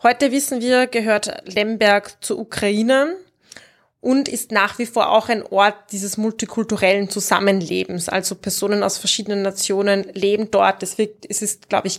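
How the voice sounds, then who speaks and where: A woman discussing books as a host, explaining things in a reflective, informal and conversational way. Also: medium pitch.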